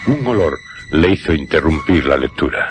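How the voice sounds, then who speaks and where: An actor with a Castilian Spanish accent as a grave narrator of a radio horror tale, solemn and deliberate with pauses. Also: male voice, very deep voice, big pitch swings, noisy background.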